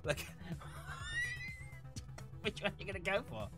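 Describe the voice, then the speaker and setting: An excitable game streamer giving casual live commentary, prone to shouting and cackling. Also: medium pitch, slow, over loud music.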